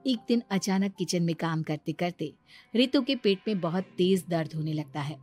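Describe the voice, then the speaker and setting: A woman giving scripted storytelling narration for an animated moral story. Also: fairly low pitch, normal speed. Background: music.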